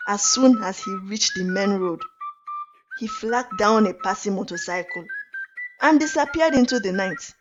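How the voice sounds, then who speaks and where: A woman telling a folktale in an expressive, deliberate, dramatic narration. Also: mid-range voice. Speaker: storyteller. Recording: dull lo-fi audio under music. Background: clear flute music.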